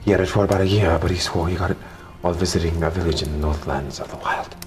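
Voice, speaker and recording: A man voicing a deferential, hesitant military officer reporting to his king in a fantasy radio play, quiet and halting. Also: low voice, steady tone, natural voice. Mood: nervous deference. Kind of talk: fantasy radio theater acting. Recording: music behind the voice.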